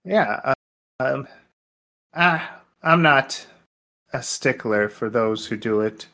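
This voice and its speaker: A man's voice: medium pitch, lively intonation, takes it slowly, bright, forceful tone. A man co-hosting a podcast, chatting casually with wry, playful turns of phrase. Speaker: podcast co-host. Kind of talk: casual movie podcast chat.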